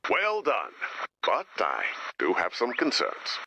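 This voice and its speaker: A man's voice: mid-range voice, huge pitch swings, takes it slowly, sharp tone, breathy edge, no room sound, heavily acted. A man voicing a fussy, flustered robot director in a video game, earnest and fretful about safety. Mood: anxious. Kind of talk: video game character dialogue.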